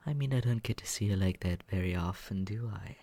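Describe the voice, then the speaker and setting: A performer voicing a smug, mocking young male roommate in an ASMR roleplay, with a breathy, husky voice that often slips into a whisper and a condescending, taunting drawl. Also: low voice, brisk, dark tone. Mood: smug teasing. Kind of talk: ASMR roleplay, slow teasing monologue.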